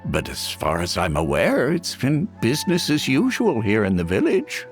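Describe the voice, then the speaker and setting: A man's voice: mid-range voice, wildly swooping pitch, moderate pace, faint music behind. A man voicing a polite, forgetful old village elder in a video game. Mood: puzzled. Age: older. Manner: polite, hesitant, apologetic.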